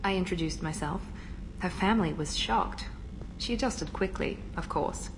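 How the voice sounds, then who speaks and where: A woman plays a cool, self-controlled operative in a sci-fi game. Her voice sits low and her delivery is measured and reserved. Steady noise runs beneath it. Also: lightly acted. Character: composed adult female operative, cool and controlled. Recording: game audio with ambient noise.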